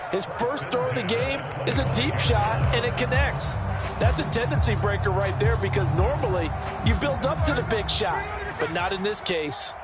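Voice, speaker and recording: A man's voice: sits high, animated, normal speed, loud music behind the voice. A man with an American accent acting as a folksy, enthusiastic colour analyst in a football video game, explaining the game in a conversational way. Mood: enthusiastic, explanatory.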